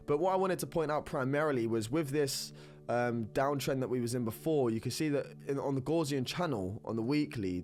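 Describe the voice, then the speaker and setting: A man's voice: medium pitch, darkish tone. A man with a stuffy nose from a cold, talking through crypto charts in a rambling, upbeat way and repeating words. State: congested with a cold. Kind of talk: screen-recorded technical analysis talk.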